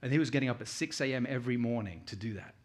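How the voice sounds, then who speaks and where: Middle-aged man, British accent, medium-pitched voice, conference speaker, informal, conversational, anecdotal. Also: very fast, darkish tone. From United Kingdom, born in Uganda. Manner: conversational, anecdotal, puts questions to listeners. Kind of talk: informal conference presentation to a live audience.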